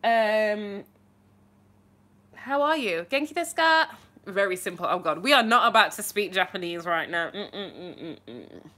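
A young woman chatting casually to viewers on a live stream from her bedroom, bubbly and animated, with her phone held at arm's length. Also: medium pitch, a little slow. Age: young adult. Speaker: livestream host answering viewer questions. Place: small bedroom indoors.

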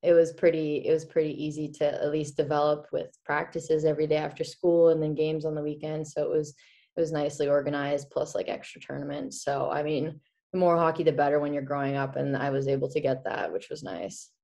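A woman in her twenties from the Czech Republic, chatting as a guest in a video-call interview, animated and quick to laugh. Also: low voice, gravelly. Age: 20s. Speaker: guest, former college hockey player. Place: small indoor room. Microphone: laptop webcam on video call.

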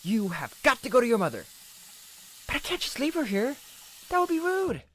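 An actor playing a young, dutiful, easily flustered male unicorn squire, hesitant and expressive, in an animated series. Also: medium pitch, moderate pace. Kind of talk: animated fan series voice acting. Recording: music behind the voice.

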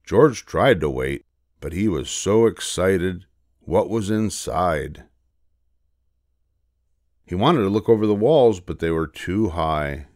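An older man reading a children's storybook aloud as narrator, in a measured, expressive way. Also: deep voice, dry recording, studio quality.

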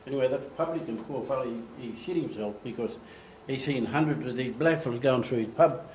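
An older man tells stories in lively fashion from a lectern, recorded by a camera across an echoey meeting room. His voice sits at an average pitch. A faint room ambience hangs behind him. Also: fairly quick, a little hiss or hum. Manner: animated, gestures, acts out quoted voices. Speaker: speaker giving a slide talk. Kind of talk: storytelling presentation. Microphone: far camera.

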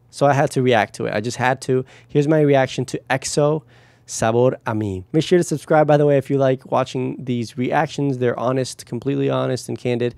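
Man in his thirties from Guatemala, home-studio reaction host, close boom mic, mellow tone, gushing and upbeat. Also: dry recording. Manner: enthusiastic, effusive praise. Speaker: music producer reacting to videos. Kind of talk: casual reaction vlog. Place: small home studio room. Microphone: headphones, close mic on boom arm.

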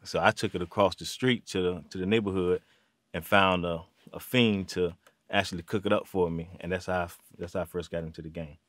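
A man, from Virginia, talking as an interview guest in a halting way full of "um"s and restarts. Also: low voice, steady tone, fairly bright tone, no room sound. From Virginia, USA. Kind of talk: casual interview. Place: small studio room.

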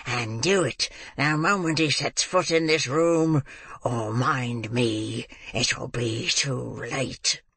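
A man voicing a crafty old criminal boss in a storybook reading, with a croaking, breathy voice and drawn-out, scheming delivery. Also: mid-range voice, sing-song, fairly bright tone, dry recording, heavily acted. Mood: scornful, furious.

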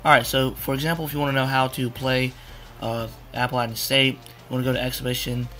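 A young man with a hoarse, cracking voice, rambling casually through a video game walkthrough with many fillers. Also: mid-range voice, flat delivery, fast, sharp tone. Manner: rambling, repeats "you wanna" with fillers. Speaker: gaming tutorial maker. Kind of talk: casual screen-capture walkthrough.

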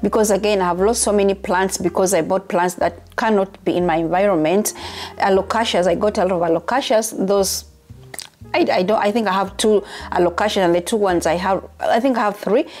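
A young woman from Uganda chats casually to her viewers in a medium-pitched voice. She is talkative and repeats phrases for emphasis, like a friend catching up. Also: a little fast, a little mellow, soft background music. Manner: chatty, repeats herself for emphasis. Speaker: vlogger. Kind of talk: casual chat to camera.

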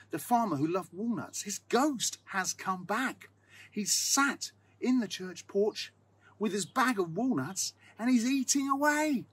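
Man doing a frantic high-voiced sexton. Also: fairly bright tone, slightly rough, exaggerated voice. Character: panicked timid male church sexton.

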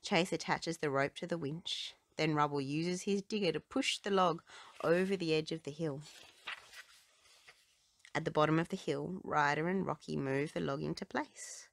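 A woman reading a children's storybook aloud with expressive, dramatic phrasing. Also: low-pitched, moderate pace, gravelly, dry recording. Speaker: children's book reader.

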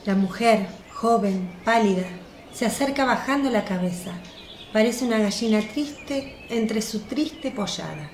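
A woman reads scripted narration for a radio play. Her pitch is average, and the recording has clear echo with faint birdsong behind. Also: expressive, a little slow, a little hiss or hum.

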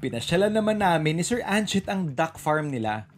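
A woman's voice: lowish voice, big pitch swings, moderate pace. A woman with a Filipino accent reading a scripted voice-over narration for a show. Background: light background music.

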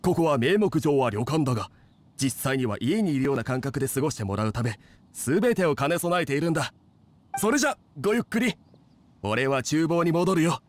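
An actor playing an easygoing, confident young inn chef with a hospitable manner, in anime game dialogue. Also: male voice, fairly high pitch, animated, brisk, lightly acted. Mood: proud, hospitable. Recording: music clearly behind the dialogue.

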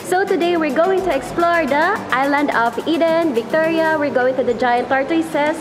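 A bubbly young woman with a Filipino accent hosts a casual travel vlog, her voice sitting high and bright. She talks outdoors into a handheld camera, often breaking into delighted exclamations. Loud music plays beneath her throughout. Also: normal speed. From Philippines. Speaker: travel vlogger. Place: outdoors on a tropical island.

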